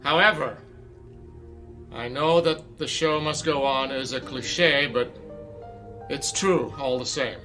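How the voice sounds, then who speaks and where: A man's voice: medium pitch, takes it slowly, long pauses, pushed and bright. An actor playing a demanding male theater director who gives commanding, clipped orders in a radio drama. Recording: radio drama with faint music.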